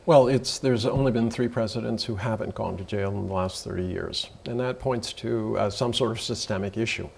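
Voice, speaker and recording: A man with a heavily gravelly, low voice gives frank commentary on a news panel. He talks steadily, scattering fillers between his phrases. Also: normal speed, hardly any pauses, slight background noise.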